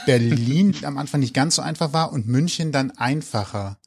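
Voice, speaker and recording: A man's voice: mid-range voice, moderate pace, gravelly, darkish tone, breathy edge. A man hosting an interview podcast, asking in a thoughtful, hesitant way with fillers. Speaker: podcast host. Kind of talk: casual interview chat.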